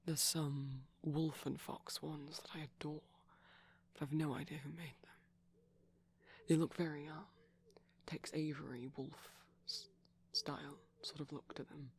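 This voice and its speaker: A man speaking in a soft, breathy ASMR ramble, often slipping into a whisper, gentle, shy and hesitant, close to the microphone. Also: a little high, steady tone, measured pace. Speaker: ASMR performer.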